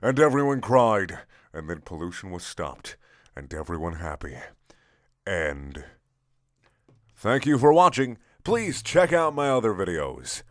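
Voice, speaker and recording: A man's voice: low voice, very animated, measured pace, pauses often, no room sound, clean studio sound. A man narrating a comic action story in stilted, broken English with dropped articles.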